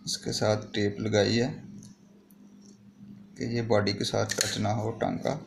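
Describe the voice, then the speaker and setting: A man's voice: fairly low pitch, little pitch movement, slow, vocal fry. A man explaining a hands-on repair step by step in a plain, improvised way, like a tutorial host. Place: indoor repair workbench. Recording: noisy home-made recording with clicks.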